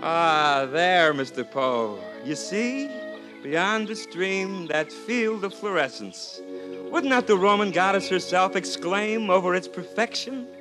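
High-pitched, bombastic, mischievous rascal. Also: male voice, takes it slowly, music in the background. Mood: grandiloquent, scornful. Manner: grandiloquent, excitable, florid declaiming. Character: flamboyant, bombastic, mischievous male rascal and braggart companion. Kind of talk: 1950s radio drama acting. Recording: 1950s radio broadcast.

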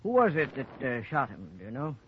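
An actor playing a courteous, professional hospital surgeon in a 1950s radio drama, speaking in a formal, clipped, clinical manner. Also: male voice, medium pitch, huge pitch swings, brisk. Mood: regretful, polite. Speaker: actor in supporting part. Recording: muffled, noisy old radio broadcast.

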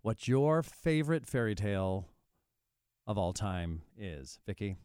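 A man's voice: on the low side, big pitch swings, measured pace, darkish tone, smooth, no room sound. Male podcast host, quippy and affirming, casual panel chat, very dry close sound.

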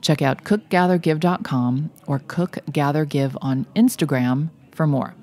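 A woman's voice: low-pitched, measured pace, darkish tone. A woman hosting a radio cooking show, chatting casually with a guest while losing her voice. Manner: chatty, friendly interviewer with fillers and restarts.

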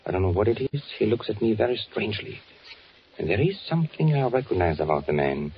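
Radio drama spy in officer's uniform: low, guarded, deliberate; noisy vintage broadcast sound. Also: male voice, lively intonation. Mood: tense warning. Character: careful undercover agent posing as a German army officer. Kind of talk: 1940s radio acting. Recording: dull, noisy 1940s radio recording.

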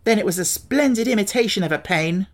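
A woman voicing a plucky, excitable young boy in a storybook, easily irritated and quick to exclaim. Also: mid-range voice, voice pushed up, close to an everyday voice. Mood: sulky, indignant.

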